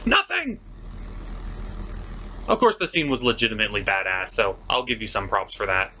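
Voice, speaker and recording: A man's voice: on the low side, huge pitch swings, normal speed, pushed and bright. Male review host, comedic recap style. Emphatic, exasperated, swooping delivery over faint noise.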